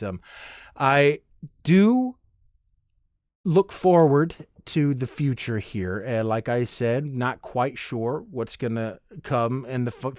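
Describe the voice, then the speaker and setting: A man's voice: mid-range voice, no echo, studio quality. A man hosting a podcast alone in a casual, rambling and self-deprecating way. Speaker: podcast host. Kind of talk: casual solo chat.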